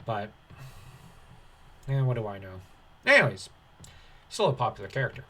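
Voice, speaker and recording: A man's voice: mid-range voice, sing-song, normal speed, sharp tone. Sardonic man giving offhand commentary. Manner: dismissive, sardonic asides. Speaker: online commentator. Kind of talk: reading an article aloud with sarcastic off-the-cuff commentary.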